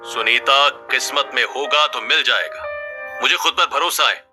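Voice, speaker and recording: Man playing a stubborn businessman over loud music. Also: medium pitch, measured pace, close to an everyday voice. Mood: confident. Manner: self-assured, insistent. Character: ambitious, stubborn businessman and eldest son. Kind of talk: Hindi moral family story dialogue.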